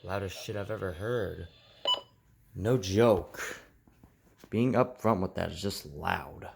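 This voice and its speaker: A man's voice: low-pitched, slow, gravelly. A man chatting casually and unscripted as he hosts a homemade video demonstrating gadgets. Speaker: video host demonstrating weather radios. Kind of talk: casual unscripted vlog chat. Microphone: headset. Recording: heavy background noise, clicking.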